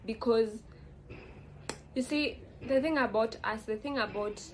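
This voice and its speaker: A woman's voice: a little high, a little slow, slight background noise, rough recording. A young woman with a Kenyan accent talks casually, rambling with lots of fillers and restarts. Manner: rambling, frequent fillers and self-corrections. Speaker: vlogger. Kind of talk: casual reflective monologue.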